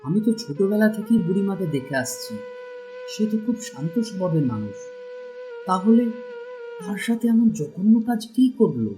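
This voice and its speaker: Music plays clearly behind an earnest cartoon villager played by a man. He is an older-brother figure, concerned and puzzled as he speaks. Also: sits high, very animated, normal speed, rough recording. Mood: worried, puzzled. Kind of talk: Bengali cartoon voice acting.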